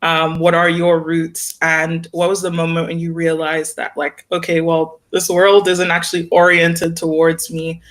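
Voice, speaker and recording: A man's voice: a little high, monotone, measured pace, hardly any pauses, fairly bright tone. A man casually interviewing a guest on an online call, phrasing questions tentatively with halts and rewordings. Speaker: webinar host, interviewer.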